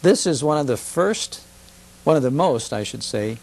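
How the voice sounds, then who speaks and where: A man's voice: mid-range voice, lively intonation, normal speed, darkish tone, breathy edge. A man talking conversationally as a guest expert on radio history, enthusiastic and emphatic, repeating phrases for effect.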